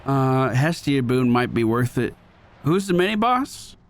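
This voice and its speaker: A man's voice: mid-range voice, big pitch swings, measured pace, slight background noise. A male gaming streamer giving casual live commentary, chatting and thinking aloud as he plays. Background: game music.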